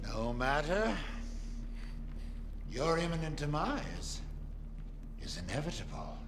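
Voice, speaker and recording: Pompous, high-pitched villain. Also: male voice, sing-song, takes it slowly, very noisy, exaggerated voice. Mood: menacing. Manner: pompous, grandiose, mocking delivery. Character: haughty, theatrical villain taunting a fight.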